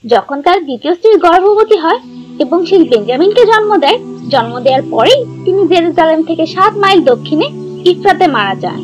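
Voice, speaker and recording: A woman narrating a scripted children's story in a clear, instructive manner. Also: extremely high pitch, sing-song, a little fast. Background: background music.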